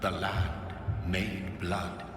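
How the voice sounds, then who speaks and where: Cavernous, deep ancient land spirit. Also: male voice, level tone. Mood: solemn, cryptic. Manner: archaic, slow, oracular phrasing. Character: ancient spirit of the land, male, solemn and prophetic. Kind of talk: video game dramatic acting. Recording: distant, long cavernous reverb, loud noise.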